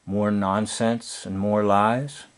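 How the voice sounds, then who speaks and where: An older man with an American accent giving informal, opinionated commentary in a sardonic, deliberate way, full of rhetorical questions. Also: fairly low pitch, flat delivery, background noise.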